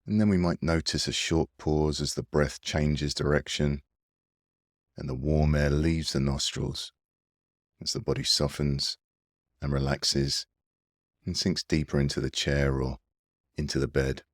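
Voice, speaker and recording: An extremely low-voiced man narrates a guided breathing meditation. He speaks slowly, with a soothing and gentle manner of instruction. The sound is dry and close to the microphone. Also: steady tone, no room sound. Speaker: meditation guide and mindfulness coach.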